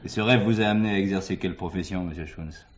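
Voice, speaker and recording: A man's voice: sits low, fast talker, a little hiss or hum, close to an everyday voice. A man playing a skeptical, dry-humored police commissioner in a 1970s French drama. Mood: inquisitive.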